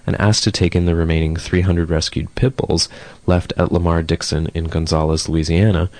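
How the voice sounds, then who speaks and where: A man hosting a community talk radio show, hoarse from a cold, reading news items and then introducing a guest with relaxed fillers. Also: deep voice, no echo. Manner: reads news steadily, then chats with fillers. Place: radio studio.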